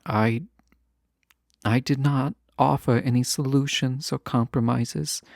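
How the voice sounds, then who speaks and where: A proud fantasy ruler, acted by a man, speaks with yearning and formal care. His mid-pitched voice has a marked gravelly fry and moves slowly through hesitant pauses. The sound is dry and intimate, in the style of a romantic audio roleplay. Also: steady tone, a little mellow, no room sound. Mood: regretful, hesitant. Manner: formal, deliberate, earnest speech with hesitant pauses.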